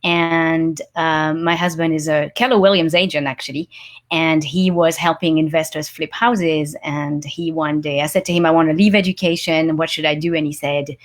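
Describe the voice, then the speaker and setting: A woman from France with a French accent, a guest telling how she started her career, in a quick, lively way. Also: low-pitched. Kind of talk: interview chat.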